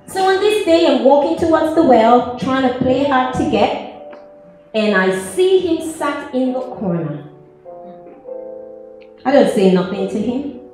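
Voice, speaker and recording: Lady doing a defiant, yearning outcast woman, high voice, theatrical spoken word, big echoey room with music behind. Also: animated, measured pace, pauses often. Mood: sassy, storytelling. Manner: theatrical, emphatic, swinging from hushed to loud. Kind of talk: dramatic spoken-word monologue performed live. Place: large echoey church hall, music behind. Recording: echoey hall from far off, music.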